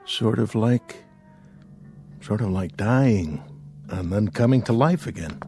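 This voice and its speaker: A measured, lowish-voiced American space commander. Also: male voice. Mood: pensive, wistful. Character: calm, dutiful male astronaut commander, quietly wistful. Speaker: actor. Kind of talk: radio drama acting with reflective narration. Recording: radio drama with music behind. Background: clear music.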